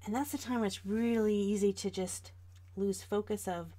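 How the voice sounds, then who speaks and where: A woman's voice: mid-range voice, steady tone, moderate pace, warm tone. An American woman of about forty chatting casually and informally to viewers as the host of an art livestream. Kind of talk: casual livestream chat while drawing.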